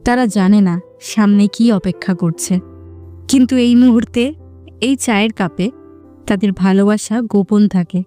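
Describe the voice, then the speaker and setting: Woman story narrator, warm relaxed voice, poetic n measured, music playing behind. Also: medium pitch.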